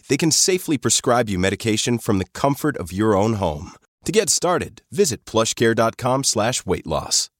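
Lively, reassuring male ad announcer. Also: fairly low pitch, no room sound, clean studio sound. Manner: smooth, reassuring, lively delivery. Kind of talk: scripted commercial read.

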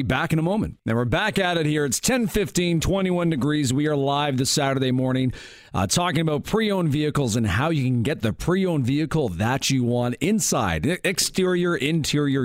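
A man's voice: medium pitch, animated, normal speed, no echo, clean studio sound. A man hosting a live talk radio show, reading out the call-in number and moving between segments with upbeat announcer delivery. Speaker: radio host. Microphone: studio microphone.

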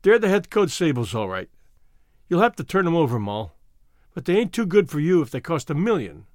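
A man's voice: high-pitched, big pitch swings, normal speed, dry recording, clean studio sound. A man voicing a proud, hot-tempered young ex-gangster in a storybook, blunt, slangy and emphatic. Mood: grim, wild.

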